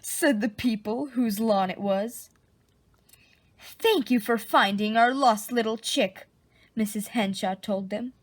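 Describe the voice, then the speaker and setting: A woman reading a children's picture book aloud in an expressive, dramatic storytelling style. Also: on the high side, takes it slowly. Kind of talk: animated storybook read-aloud.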